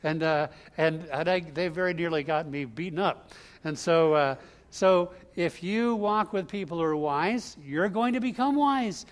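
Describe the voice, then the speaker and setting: A man with a somewhat high voice delivers a sermon from the pulpit. He walks through a verse step by step in a relaxed, conversational manner, mixing teaching with personal stories. He grew up in the US Northeast. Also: unhurried. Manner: conversational, explains line by line. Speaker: preacher. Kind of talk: expository sermon.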